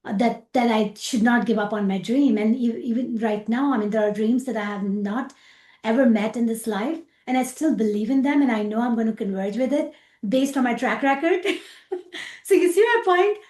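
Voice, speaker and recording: A middle-aged woman with a Pakistani accent, an interview guest talking about her work with animated repetitions and fillers. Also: a little high, normal speed, reverberant. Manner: animated, repeats words, frequent fillers, laughs easily. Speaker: rocket scientist guest. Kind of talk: casual interview chat.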